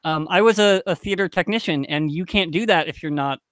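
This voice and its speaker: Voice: medium pitch, fairly quick, fairly bright tone, no room sound. A young adult podcast panelist speaking casually, frankly and emphatically in a group discussion.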